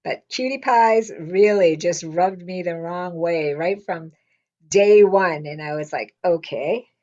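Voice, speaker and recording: A woman's voice: on the low side, slow, muffled recording. Blunt older woman telling a story. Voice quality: loud voice. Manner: lively, blunt, quotes people in her stories. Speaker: interviewee. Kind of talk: casual storytelling.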